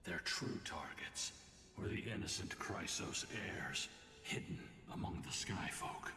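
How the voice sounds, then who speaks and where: Grave weary game lorekeeper, really low n slow, solemn. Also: male voice. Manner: slow, solemn, measured delivery. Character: grave, weary male lorekeeper recounting ancient betrayal. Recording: reverberant game audio over loud music.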